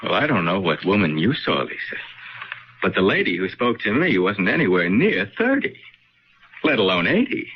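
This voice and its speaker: A man's voice: on the low side, very animated, moderate pace, slight background noise. A man playing an easygoing, jokey young newlywed husband in a radio drama. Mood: bantering, insistent. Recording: dull lo-fi radio recording.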